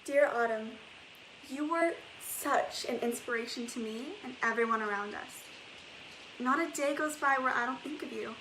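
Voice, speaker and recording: An earnest teenage girl in mourning played by a young woman delivers a stage monologue. Her voice is high and bright, with faint noise behind it. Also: moderate pace, rough recording. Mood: earnest grief. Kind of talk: stage monologue as spoken letter.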